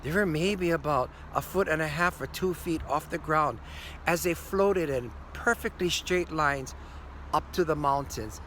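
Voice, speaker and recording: An older man tells a story in a slightly high voice, earnest and unhurried. He pauses often in the middle of a phrase. He speaks outdoors, with a faint hum of city traffic behind him. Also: a bit bright. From Hawaii. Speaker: storyteller, teacher. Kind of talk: spoken storytelling to camera. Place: outdoors on a hillside above a city.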